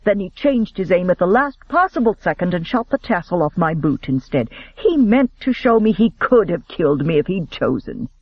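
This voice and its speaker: A proud young nobleman in a bitter fury, as a man acts him out. He snaps his words with a wide, lively pitch, in a dry and slightly dull recording. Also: measured pace, husky, no room sound, full character voice. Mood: furious. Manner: snapping, bitter.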